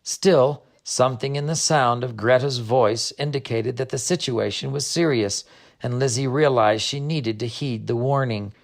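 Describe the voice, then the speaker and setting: A man reads a storybook aloud as narrator. His voice sits at a middle pitch, and his delivery is measured and expressive. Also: vocal fry. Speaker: narrator, author reading own book.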